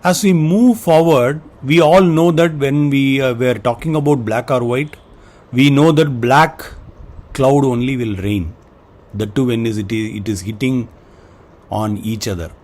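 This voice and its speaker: A man giving an explanatory presentation, speaking in halting phrases and listing items one by one. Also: medium pitch, a little mellow. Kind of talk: explanatory slide presentation, semi-improvised.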